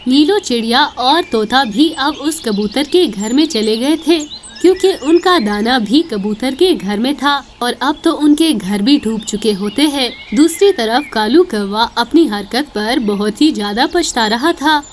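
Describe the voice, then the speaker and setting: Lady narrator for a kids story, pretty high voice, scripted, slight bg noise. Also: animated, measured pace.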